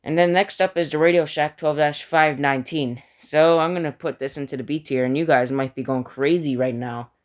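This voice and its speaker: A man's voice: a little high, fairly even intonation, fairly quick, few pauses. A man giving casual, opinionated commentary as a hobbyist ranking things, in an informal and slightly self-deprecating way. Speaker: hobbyist video creator.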